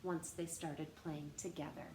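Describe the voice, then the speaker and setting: A woman reading aloud expressively for young children as a storytime host, animated and addressing them directly with questions. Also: low voice, unhurried. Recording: roomy echo with faint background noise.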